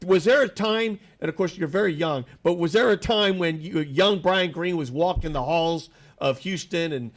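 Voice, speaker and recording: A man hosting a radio talk show, a little nasal from allergies, with a voice pitched somewhat high for a man. He talks in an upbeat, rambling way, asking long questions in a studio. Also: normal speed. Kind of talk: casual radio interview.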